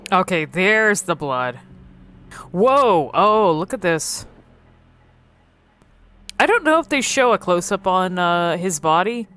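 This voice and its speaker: A woman giving casual, unscripted game commentary, thinking aloud haltingly and swearing freely. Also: fairly low pitch, sing-song, fairly bright tone, clean tone.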